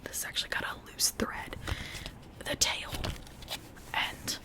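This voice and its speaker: An ASMR creator whispering an informal, rambling chat in a breathy, unvoiced voice, gushing softly over cute things. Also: takes it slowly.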